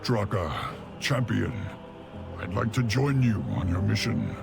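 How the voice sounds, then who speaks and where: A deep gravelly orc shaman. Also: animated, measured pace, music in the background. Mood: eager. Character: orc shaman and former war chief, humble son. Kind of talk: video game cutscene acting. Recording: game score behind.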